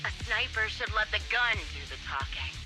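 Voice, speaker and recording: A woman playing a vengeful, theatrical sniper villain in a video game, with mocking, sinister, drawn-out taunts. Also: medium pitch, expressive, over music, lightly acted. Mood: menacing glee.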